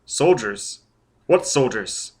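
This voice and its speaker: A man's voice: medium pitch, expressive, slow pace, a little mellow. A man playing a driven, intense, demanding adult man in a dramatic audiobook story. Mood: urgent. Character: driven, raging adult man hunting for answers.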